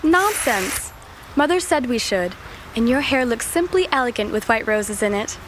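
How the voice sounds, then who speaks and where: Woman acting dramatic spirited teen sister, really high voice, swoops around, argues theatrically, audio drama. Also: lively intonation, moderate pace, fairly bright tone, slight background noise. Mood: haughty, chatty. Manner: theatrical, argumentative, mock-formal diction.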